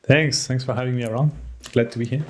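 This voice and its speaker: A man's voice: medium pitch, fairly even intonation, quick pace, a little mellow. A man from France with a French accent, a guest on a podcast, talking candidly with a self-deprecating humor. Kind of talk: casual podcast interview.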